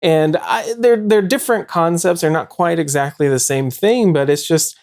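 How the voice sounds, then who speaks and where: Book show host, mid pitch, casual and explanatory, self-correcting. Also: normal speed, a little mellow.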